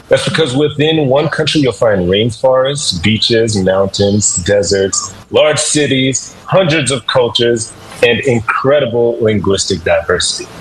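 A man's voice: medium pitch, slight background noise, low-quality recording. A man hosting a radio language segment, upbeat and instructive, drilling listeners to repeat phrases. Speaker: language teacher segment host.

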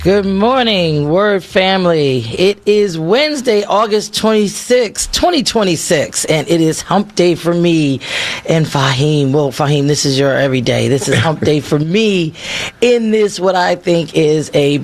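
A man hosting a morning radio talk show as a fill-in, with a raspy voice going a little hoarse, reading news and chatting. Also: on the high side, measured pace. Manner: reads news items aloud, animated asides. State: getting hoarse.

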